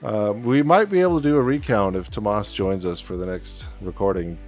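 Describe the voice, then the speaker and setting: A man hosting a casual podcast chat in a relaxed way. He chuckles often and reads figures off his notes. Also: on the low side, a little fast, faint music behind. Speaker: podcast host.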